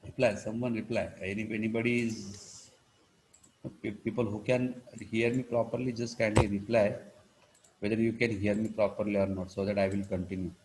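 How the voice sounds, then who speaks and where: A man with an Indian accent teaching a live online engineering class, explaining step by step in a halting, repetitive way with frequent check-in words. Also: lowish voice, little pitch movement, a little mellow, slight background noise, rough recording. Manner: halting, repetitive, punctuated with check-in words like "right" and "you know". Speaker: engineering lecturer.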